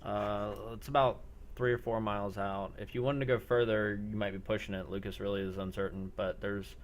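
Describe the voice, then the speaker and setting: A man running an improvised role-play as game master, thinking aloud in halting phrases. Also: fairly low pitch, steady tone. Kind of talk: improvised narration of an online tabletop-style role-play. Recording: clear background noise.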